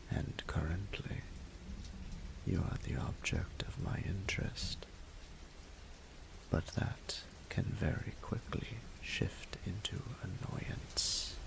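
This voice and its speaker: A man voicing an ancient, haughty and flirtatious male dragon in a roleplay audio drama, speaking in a formal, imperious, deliberate way. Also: exaggerated voice, rough recording. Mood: wary, intrigued. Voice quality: breathy, gravelly low voice.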